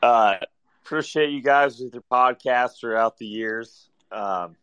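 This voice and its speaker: A slightly hoarse man chatting casually as a caller, in a hesitant, halting way. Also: medium pitch, a bit bright, no echo, muffled recording. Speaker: caller to a podcast. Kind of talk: casual chat.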